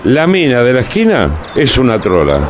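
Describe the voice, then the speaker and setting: A man's voice: mid-range voice, wildly swooping pitch, moderate pace, music in the background. A man playing a bluntly judgmental, dismissive adult in a short radio skit.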